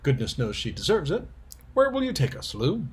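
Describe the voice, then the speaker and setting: An earnest schoolboy leader as a man reads him aloud in a storybook. The voice is very raspy and lifts and dips with lively pitch. He talks in old-fashioned exclamations and takes charge. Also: normal speed, exaggerated voice. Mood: decisive. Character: earnest, decisive eldest schoolboy, leading his siblings.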